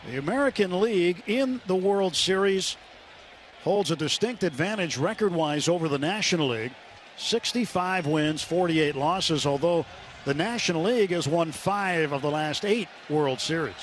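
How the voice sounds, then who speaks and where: A man doing live radio play-by-play of a baseball game, measured and descriptive, filling gaps between pitches with statistics. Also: animated. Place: stadium broadcast booth. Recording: noisy ballpark radio broadcast. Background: loud ballpark ambience.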